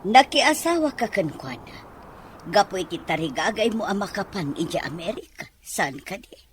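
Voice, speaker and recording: A woman's voice: mid-range voice, sing-song, moderate pace, a little hiss or hum, natural voice. A woman acting an exasperated but reasoning mother in an Ilocano radio drama, questioning and scolding with an Ilocano accent. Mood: reproving, reasoning.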